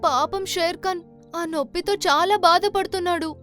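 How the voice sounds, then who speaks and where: Cartoon jackal: tiny high voice, selfless, earnest and emotional. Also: female voice, moderate pace, fairly bright tone, soft background music. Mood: worried, pleading. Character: kind, innocent, self-sacrificing jackal in a children's fable. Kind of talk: animated children's story acting.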